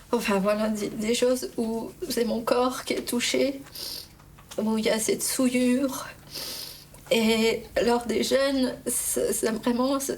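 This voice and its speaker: A woman giving a personal testimony in a group, halting and earnest, repeating words. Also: on the high side, a little hiss or hum. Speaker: retreat participant.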